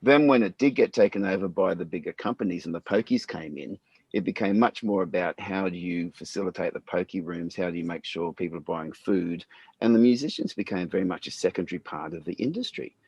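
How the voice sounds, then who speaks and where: Husky, low-voiced host. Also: male voice, a little fast, no echo. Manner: relaxed and conversational, says mate. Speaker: podcast host. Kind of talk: casual chat.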